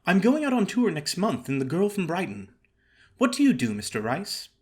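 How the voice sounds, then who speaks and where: Guy doing a young chorus girl in an audiobook, kinda high, earnest, plain-spoken, bouncy intonation. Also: wildly swooping pitch, moderate pace, husky, full character voice. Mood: friendly. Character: small, quiet, frank young chorus girl. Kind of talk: audiobook character acting.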